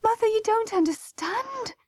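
Lady narrator doing an anxious young newlywed daughter, high pleading voice, earnest, storybook reading. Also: very animated, takes it slowly, full character voice.

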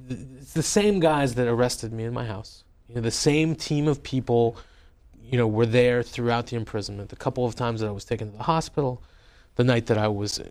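A man, a journalist and author, recounting his experiences in a wry, conversational way with many fillers and restarts. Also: mid-range voice. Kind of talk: interview, personal storytelling.

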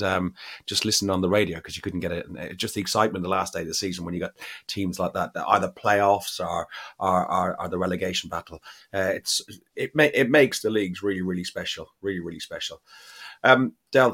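A middle-aged man hosting a podcast in casual chat, halting and jokey as he goes. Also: deep voice, fairly bright tone. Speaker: podcast host.

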